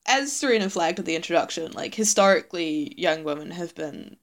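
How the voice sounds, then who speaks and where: A young woman with a New Zealand accent, co-hosting a podcast in casual chat, animated and laughing often. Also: on the low side, fairly quick. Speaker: podcast co-host.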